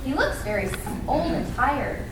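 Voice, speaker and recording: A cheery little storybook clown, acted out by a woman reader, calls out with bright hope in a raised, high voice. The sound is distant and very roomy. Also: big pitch swings, normal speed, noisy background. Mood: eager, hopeful. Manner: eager, calling out brightly. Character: cheery, hopeful little male toy clown.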